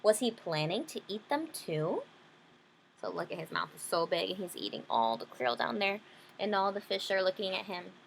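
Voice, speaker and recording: A woman's voice: medium pitch, big pitch swings, normal speed, creaky, a bit bright, slight background noise, poor audio. A woman reading a children's storybook aloud, adding simple explanations for young listeners. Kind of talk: children's picture-book reading with simple asides.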